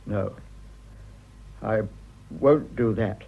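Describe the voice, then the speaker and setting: An actor playing an earnest, self-sacrificing English politician husband in a radio drama, speaking formally with hesitant, measured delivery. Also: male voice, on the low side, gravelly, exaggerated voice. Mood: earnest, restrained. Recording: muffled, dull radio drama recording.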